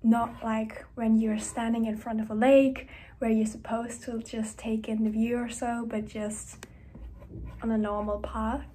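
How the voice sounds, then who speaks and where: A young woman, a university student, talking in a casual diary-style vlog. She speaks softly and hesitantly, often dropping to a gentle, breathy whisper. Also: fairly high pitch, little pitch movement, unhurried, a little hiss or hum. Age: young adult.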